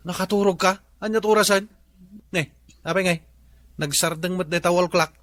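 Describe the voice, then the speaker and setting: A man's voice: high voice, steady tone, normal speed, fairly bright tone, no echo. A man playing a stingy, grumbling husband with an Ilocano Filipino accent in a comedy radio drama. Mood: demanding, puzzled.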